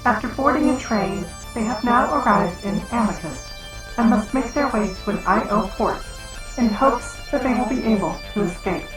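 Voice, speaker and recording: A woman narrates a scripted recap in a measured announcer style. Her fairly high voice sits in an echoey space, with music playing clearly behind it. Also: animated, far from the mic. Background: background music.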